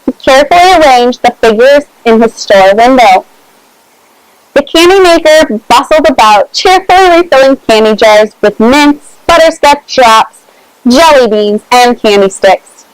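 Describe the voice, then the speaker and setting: A woman reading a storybook aloud to children in an expressive, measured way, adding her own small asides. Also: high-pitched, smooth, no echo, rough recording.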